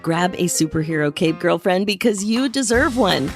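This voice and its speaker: A woman's voice: on the low side, expressive, normal speed, soft background music. A middle-aged woman hosting an interview podcast in an effusive, encouraging way. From New England, United States. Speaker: podcast host and life coach.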